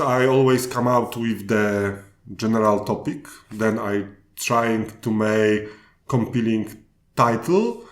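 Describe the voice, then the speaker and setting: A man with a Polish accent answering interview questions in unhurried, halting English, starting sentences over now and then. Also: mid-range voice, roomy sound. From Poland. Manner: slow, halting answers with restarts. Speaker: guest speaker. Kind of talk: podcast interview.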